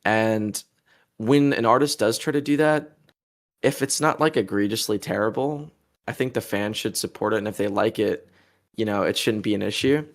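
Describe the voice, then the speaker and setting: A man's voice: fairly low pitch, moderate pace. A man in his twenties, a musician answering an interview in a rambling, heated way, full of fillers and crude insults. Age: 20s.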